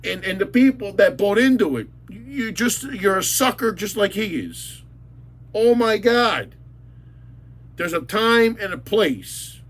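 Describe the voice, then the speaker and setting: High-pitched angry New man. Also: lively intonation, slow, a bit bright, poor audio. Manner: blunt, insulting, repetitive, emphatic. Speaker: local commentator. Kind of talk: angry ranting monologue.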